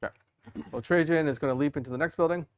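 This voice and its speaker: A man's voice: fairly high pitch, quick pace, smooth. A man playing a tabletop wargame, casually talking through his moves and thinking aloud. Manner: thinks aloud with many fillers and restarts.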